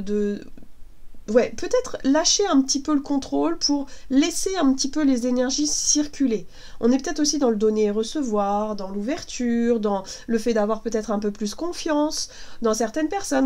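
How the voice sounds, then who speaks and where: Woman, stuffy head cold, slightly high voice, casual tarot reading, hesitant, many fillers, reassuring. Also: a little mellow. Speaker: tarot card reader.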